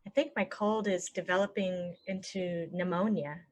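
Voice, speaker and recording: A woman teaching English phrases, saying each one and then repeating it slowly and clearly for learners. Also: lowish voice, fairly even intonation. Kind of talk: slow phrase-by-phrase language lesson.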